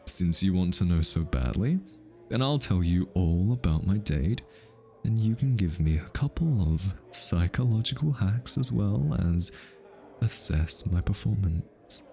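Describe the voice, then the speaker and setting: A man's voice: deep voice, lively intonation, measured pace, gravelly, warm tone, soft background music, exaggerated voice. A male voice actor playing an easily flustered, teasing hitman who is smitten, in an ASMR roleplay. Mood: challenging. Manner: teasing, stammers and backtracks when flustered.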